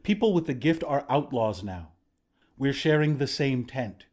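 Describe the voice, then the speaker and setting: A man's voice: mid-range voice, expressive, measured pace, darkish tone. A man playing a battle-hardened resistance commander in a video game, plain-spoken, protective and reassuring. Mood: earnest, persuading. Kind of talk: video game character voice lines.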